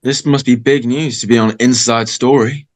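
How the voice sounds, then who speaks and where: A man playing a brash, cocky high school boy in a script read. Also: fairly even intonation, moderate pace, close to an everyday voice. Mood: eager, cocky. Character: brash, cocky male American high school party host. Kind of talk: informal script table read.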